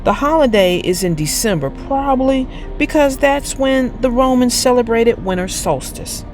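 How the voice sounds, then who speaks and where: A woman voicing a brainy young schoolboy in a children's storybook, explaining things in a measured, instructive manner. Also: on the high side, expressive, darkish tone, pitched above the performer's own voice, lightly acted.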